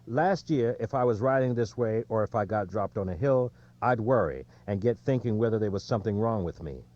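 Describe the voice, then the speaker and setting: Guy reading as a worn-out champion cyclist, rueful and plainspoken, mellow voice, bit muffled audiobook sound. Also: lowish voice, animated, a little fast, natural voice. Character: weary, self-deprecating male champion cyclist, plainspoken. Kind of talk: quoted speech in audiobook narration. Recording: dull, lo-fi audio.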